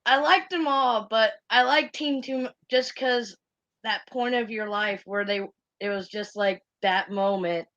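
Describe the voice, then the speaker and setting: A woman calling in to a show, talking in a casual, halting way. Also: on the high side, a bit bright. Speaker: caller. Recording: muffled call audio.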